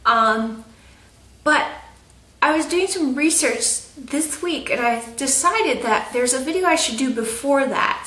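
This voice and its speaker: A woman's voice: fairly high pitch, fairly bright tone, distant mic. A young woman, chatting casually and rambling with lots of fillers in a home update video. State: stuffy nose. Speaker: craft vlogger. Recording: roomy echo, off-mic.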